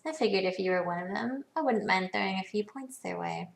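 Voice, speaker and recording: A woman acting a savvy, poised businesswoman in a video game, speaking in a dry, sardonic, measured way. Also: medium pitch, creaky, lightly acted. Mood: dry amusement.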